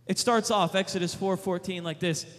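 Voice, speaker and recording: A man delivers a sermon with emphatic, repeated rhetorical questions. His voice sits high for a man. The sound rings with the long reverb of a large hall. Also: steady tone, normal speed, fairly bright tone. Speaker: youth preacher.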